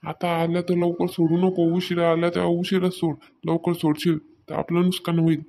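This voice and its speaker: A man's voice: sits high, monotone, moderate pace, dark tone, slight background noise. A man playing a grumbling, stingy village farmer husband in a comedy cartoon, with a rural Maharashtra Marathi accent and a nagging manner. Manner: nagging, complaining.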